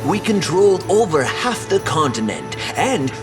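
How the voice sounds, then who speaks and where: An old scholarly royal tutor from a fantasy game. He lectures slowly and pompously, with big theatrical swings in pitch, over clear background music. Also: sing-song. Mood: proud, lecturing. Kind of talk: fantasy video game voice acting.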